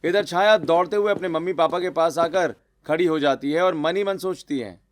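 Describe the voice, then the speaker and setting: A man's voice: on the high side, normal speed, dry recording, studio quality. A man reading a scripted story as its narrator.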